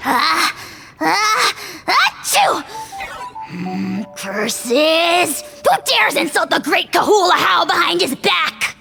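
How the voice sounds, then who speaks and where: A woman's voice: very high voice, sing-song, slow, vocal fry, sharp tone, soft background music, exaggerated voice. A woman voicing a small, pompous, foul-mouthed dragon lord in a video game, ranting in grandiose, hot-tempered insults. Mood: indignant. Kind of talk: video game character acting.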